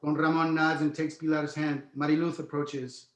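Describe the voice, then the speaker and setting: A man's voice: on the high side, level tone, moderate pace, reverberant. A man narrating a screenplay's stage directions at a table read, in present tense.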